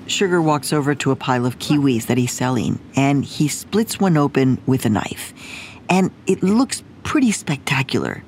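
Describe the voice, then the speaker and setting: A woman's voice: a bass voice, animated, moderate pace, a little mellow, a little hiss or hum. A woman hosting a radio program, narrating a playful story from a field trip in scripted voice-over. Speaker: radio host. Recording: narration with music underneath.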